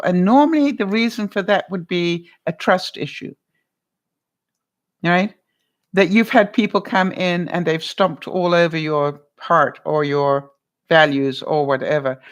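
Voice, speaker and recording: A woman vlogger chatting casually on a livestream, answering viewers by name, lively and quick to laugh, with a loud voice that carries. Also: fairly low pitch. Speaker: vlogger answering live chat.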